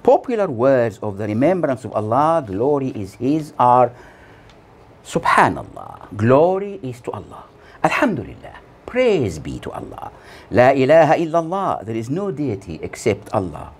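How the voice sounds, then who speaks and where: A man in his sixties delivering a scripted sermon as a preacher, solemn and deliberate, with measured phrases and pauses. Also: medium pitch, wildly swooping pitch, breathy edge. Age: 60s. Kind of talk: scripted sermon read from notes. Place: small plain room indoors. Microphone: lapel mic.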